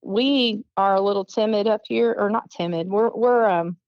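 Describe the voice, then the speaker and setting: A talkative woman with a Southern US accent shares her stories in a relaxed interview. She rambles warmly through them, with plenty of ums, and she acts out what people said. The recording is dry and slightly muffled. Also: medium pitch, normal speed, dry recording. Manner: chatty, animated, acts out quoted speech, many ums. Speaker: guest, competitive archer and teacher. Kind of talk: casual storytelling in an interview.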